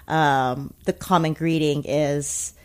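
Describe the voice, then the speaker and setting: A woman born in Taiwan and raised in America, talking casually as an interview guest, thoughtful with many pauses and restarts. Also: sits low, fairly even intonation. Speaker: interview guest, food media founder.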